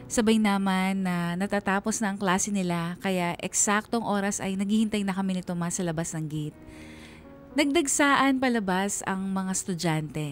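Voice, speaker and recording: A woman with a Filipino accent reading scripted first-person narration for a radio drama. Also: medium pitch. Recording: music behind the narration.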